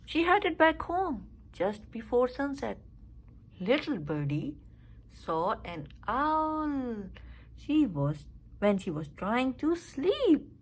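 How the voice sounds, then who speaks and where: A woman reading a children's story aloud with expressive, deliberate phrasing. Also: fairly high pitch, low-quality recording.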